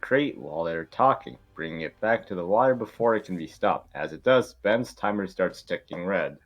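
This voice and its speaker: A man's voice: sits low, expressive, normal speed, muffled recording. A man reading stage directions as narrator in an informal amateur script reading.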